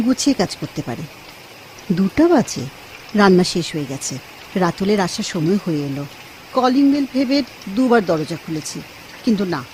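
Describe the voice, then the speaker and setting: A woman's voice: medium pitch, darkish tone, a little hiss or hum, lightly acted, low-quality recording. A woman acting a lonely, devoted housewife in a Bengali radio drama, speaking expressively and tenderly. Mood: wistful, waiting.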